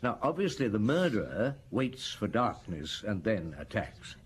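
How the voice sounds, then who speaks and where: Brief: a deliberate detective inspector, expressive pitch, faint hiss. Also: male voice. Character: methodical middle-aged male police inspector. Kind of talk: radio drama acting.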